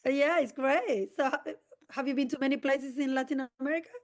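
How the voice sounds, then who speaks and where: A woman speaks as an interview guest. Her voice sits fairly high, and she explains things at length in an earnest, enthusiastic way, with the odd quick restart. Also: fairly even intonation. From Argentina. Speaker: community organisation president.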